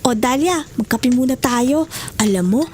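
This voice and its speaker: A woman's voice: high-pitched, lively intonation, unhurried, darkish tone, slight background noise. A woman playing a generous, chatty young woman, the boss's daughter, talking in a friendly, coaxing way in an audio drama.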